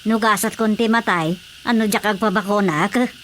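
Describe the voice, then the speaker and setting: An actress playing an earnest, inquisitive young Filipino village daughter in a radio drama. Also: female voice, medium pitch, moderate pace, fairly bright tone, a little hiss or hum, natural voice. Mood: questioning. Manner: questioning, earnest.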